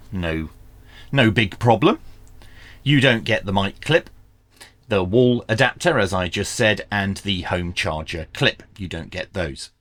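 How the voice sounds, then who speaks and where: A man talks through a product unboxing in a fairly low voice. His intonation moves around a lot, rising and dropping as he goes. He is informal and plain-spoken, offering his opinions as a reviewer would. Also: fairly bright tone. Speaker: reviewer and presenter of radio gear.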